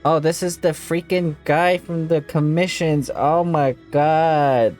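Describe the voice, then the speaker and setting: A woman's voice: low-pitched, slow pace. A woman giving casual, exclamatory reaction commentary while playing a video game. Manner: expressive, exclamatory. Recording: game music behind the voice.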